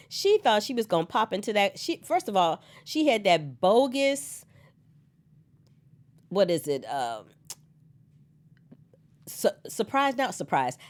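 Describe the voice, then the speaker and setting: A woman hosting an online soap opera recap on her own, slightly hoarse from a cold, animated and chatty and laughing often. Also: medium pitch. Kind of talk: solo casual recap chat.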